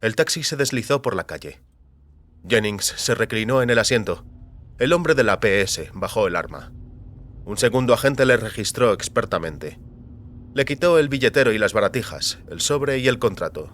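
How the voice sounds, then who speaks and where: A man's voice: lowish voice, expressive, moderate pace, a bit bright. A man reading scripted dramatic narration for an audio fiction story.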